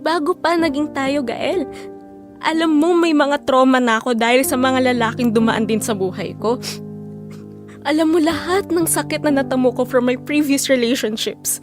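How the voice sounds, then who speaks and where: A woman's voice: sits high, normal speed, music in the background, natural voice. A woman acting a hurt, guarded young woman in an emotional, pleading but firm manner for an audio drama.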